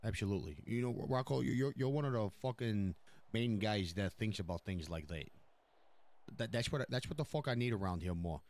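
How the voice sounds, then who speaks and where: A man plays a gruff New York crime boss in an online roleplay. His voice is low, and he talks emphatically, swearing often and circling back over his own words. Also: normal speed, darkish tone, lightly acted. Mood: approving. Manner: profane, emphatic, repeats himself.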